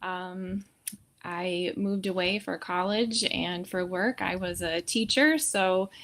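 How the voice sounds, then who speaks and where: A woman who was a teacher, introducing herself as a new school board member, clear and direct. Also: lowish voice, steady tone, slow pace, a bit bright. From Red Wing, Minnesota. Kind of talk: self-introduction at a meeting.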